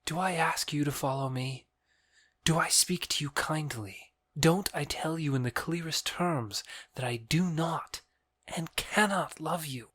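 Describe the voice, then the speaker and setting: A man's voice: on the high side, measured pace, a bit bright, no room sound. A man playing a scornful, exasperated young lover in an audio drama, with a curt manner. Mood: disgusted. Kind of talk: theatrical audio drama acting.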